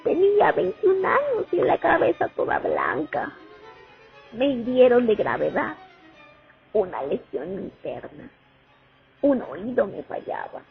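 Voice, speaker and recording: A haunted young female sniper played by a woman recalls the war in a radio drama. Her delivery is slow and dramatic, swinging widely in pitch. The recording is thin and phone-like, with music underneath. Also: wildly swooping pitch, gravelly, exaggerated voice. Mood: somber, haunted.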